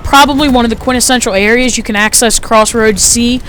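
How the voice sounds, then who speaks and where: A fifteen-year-old boy with a light, high-sounding voice, chatting casually through his gameplay commentary with lots of fillers. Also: steady tone, a little fast, sharp tone, a little hiss or hum. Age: teens. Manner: chatty, rambling, frequent fillers.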